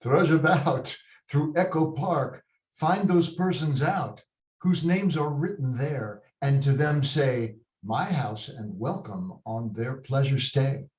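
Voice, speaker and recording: A man's voice: mid-range voice, slow, darkish tone, close to an everyday voice. A man playing a lordly master giving orders in verse, in a play reading on an echoing video call. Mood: commanding. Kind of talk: table read of a verse play.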